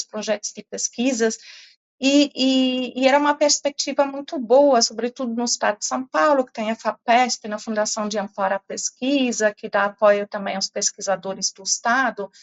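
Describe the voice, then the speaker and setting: A woman's voice: on the high side, normal speed, muffled recording. German-born woman with European Portuguese accent. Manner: thoughtful, with many fillers and restarts. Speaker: climate science professor. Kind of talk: interview answers.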